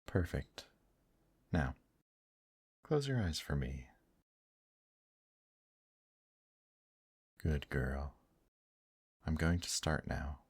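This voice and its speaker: A man voicing a gentle, teasing male demon in an intimate ASMR roleplay, speaking softly and courteously to the listener. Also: a bass voice, very animated, breathy edge, dry recording, clean studio sound, full character voice. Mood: gentle, reassuring. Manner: slow, soft, courteous, affectionate teasing with endearments. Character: gentle, teasing male demon brothel worker, tender and attentive.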